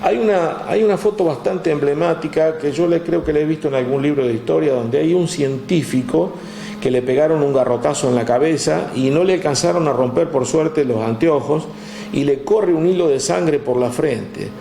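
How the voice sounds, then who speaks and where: A man with an Argentine accent, telling history in a relaxed chat, with many "este" fillers, reading out figures from a text. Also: fairly high pitch, normal speed, vocal fry, echoey room, a little hiss or hum. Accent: Argentine Spanish. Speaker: guest storyteller. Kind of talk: conversational history talk.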